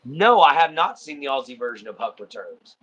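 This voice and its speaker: A man's voice: mid-range voice, very animated, brisk, a bit bright, breathy edge, lo-fi sound. A man with a Texan accent from Southeast Texas, hosting a livestream in easygoing, rambling casual chat full of ums and uhs. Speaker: livestream host.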